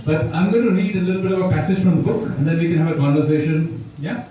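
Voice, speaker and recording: A man, an author at a bookstore event, talks about his book and reads a passage from it, pausing often and restarting his sentences. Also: fairly high pitch, steady tone, mellow, dull audio, slight background noise. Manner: thoughtful, with pauses and restarts. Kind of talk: talk and reading from his book. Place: bookstore event room, indoors. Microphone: distant mic or none. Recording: very echoey room, voice from far off.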